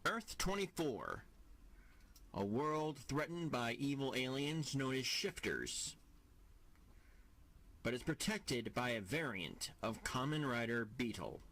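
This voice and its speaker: A man narrating an audio drama from a script in a deliberate, dramatic storytelling manner. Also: medium pitch, big pitch swings, a bit bright.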